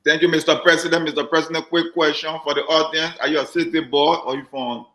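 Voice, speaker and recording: A man's voice: fairly high pitch, little pitch movement, quick pace, pushed and bright. A man hosting a community talk show, joking and chatting easily and often repeating his words. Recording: roomy echoey sound.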